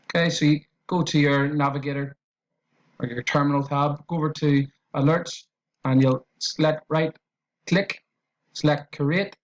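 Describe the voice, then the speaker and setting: A man's voice: a little high, fairly even intonation, measured pace, lo-fi sound. A man with an Irish accent talking through a trading tutorial on screen, in a loose, repetitive way with fillers and restarts. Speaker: trading educator.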